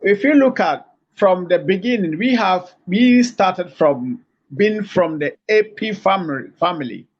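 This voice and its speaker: A man's voice: high voice, lively intonation, a little slow. Hesitant African man on a lo-fi call. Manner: hesitant, many fillers and restarts. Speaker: caller. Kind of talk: halting lecture-like argument. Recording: muffled lo-fi call audio.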